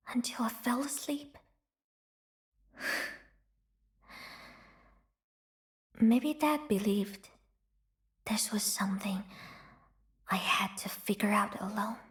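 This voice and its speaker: A woman voicing a brash, scrappy young female gang leader in a video game, cocky and boastful, hushed and wistful when she remembers. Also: fairly high pitch. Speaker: voice actor. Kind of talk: video game character voice lines.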